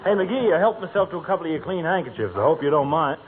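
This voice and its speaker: An actor playing a tired, wisecracking small-town doctor, droll and wordy, in 1940s radio comedy style. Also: male voice, a little high, lively intonation, fast, lightly acted. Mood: breezy, amused. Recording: noisy, narrow-band old radio recording.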